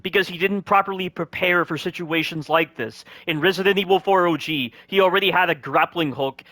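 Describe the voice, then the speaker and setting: A man's voice: sits high, a little fast, bright, forceful tone, no room sound. A man giving critical voice-over commentary on game cutscenes, asking one rhetorical question after another.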